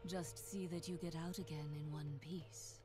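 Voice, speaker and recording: A woman's voice: deep voice, fairly even intonation, normal speed, over music, natural voice. A woman playing a grim, fearless necromancer in a fantasy video game, speaking in a terse, solemn, controlled way. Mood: resolute, cool. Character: grim, fearless female necromancer, cool and resolute.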